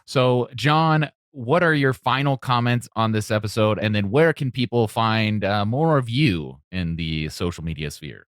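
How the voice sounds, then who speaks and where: Low-voiced man hosting a podcast. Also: a little slow, few pauses, dry recording, clean studio sound. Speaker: podcast host. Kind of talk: podcast interview.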